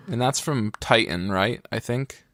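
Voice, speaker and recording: A man's voice: mid-range voice, unhurried, a bit bright, no room sound. A man co-hosting a podcast in casual chat, often hesitating and restarting his sentences. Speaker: podcast co-host.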